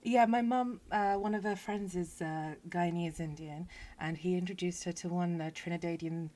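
A woman's voice: on the low side, steady tone, moderate pace, warm tone. A woman with a British accent hosting a casual chat, speaking in a wry, slightly hesitant way. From Britain. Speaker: podcast host, interviewer.

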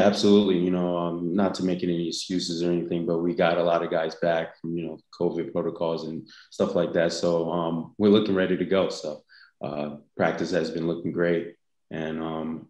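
Very low and even, this young man talks in a relaxed, halting way, pausing often as he answers interview questions. His voice has a roomy echo around it, and his pitch barely moves. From United States. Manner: easygoing, halting, with many pauses. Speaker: guest, football player.

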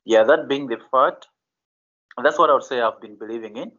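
A Malawian pastor answering interview questions slowly and hesitantly, with many fillers and restarts. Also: male voice, medium pitch, a bit bright, dull audio.

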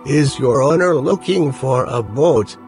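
Man acting a slow-drawling, deferential sailor. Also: mid-range voice, darkish tone, natural voice. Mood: eager, deferential. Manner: slow, drawn-out, deferential delivery. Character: respectful seafaring boat pilot, cautious but eager. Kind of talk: dramatized audiobook character acting. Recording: music behind the voice.

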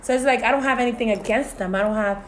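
Woman, Puerto Rican family, talkative, frequent fillers, fairly high voice, faint hiss. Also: fast talker. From Puerto Rican family, US-raised. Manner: talkative, frequent "you know" and "so it's like". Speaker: podcast co-host. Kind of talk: casual discussion.

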